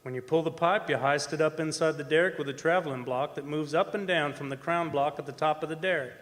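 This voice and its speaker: A man playing a folksy oil-field roughneck telling a horror tale in a radio play, in colloquial, halting speech. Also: on the high side, fairly bright tone, close to an everyday voice. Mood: folksy, explanatory. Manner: folksy, colloquial storytelling with hesitant repeats. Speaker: actor narrating a radio play. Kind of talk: radio horror drama performance, first-person narration. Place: performing arts center stage. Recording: echoey hall stage recording with music.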